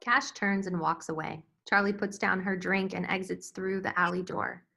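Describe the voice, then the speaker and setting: Female stage-directions reader, crisp bright edge, gravelly fry, scripted screenplay narration. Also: medium pitch, steady tone, normal speed.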